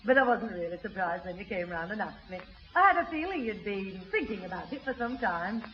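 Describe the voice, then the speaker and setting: A woman's voice: mid-range voice, animated, fairly quick, lightly acted. An actress playing a kindly, sensible middle-aged mother, earnest and firm, in a 1960s radio sitcom. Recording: muffled, faintly hissy 1960s radio recording.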